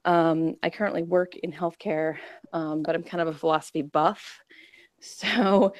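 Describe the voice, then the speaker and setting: Lower-voiced woman chatting casually. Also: steady tone, measured pace, no room sound. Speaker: co-host. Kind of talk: casual chat.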